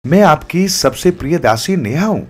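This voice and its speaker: A man's voice: a little high, animated, moderate pace, soft background music, voice pushed up. A man voicing a devoted, affectionate young palace maid in a fussing, exclamatory way for a story. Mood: alarmed.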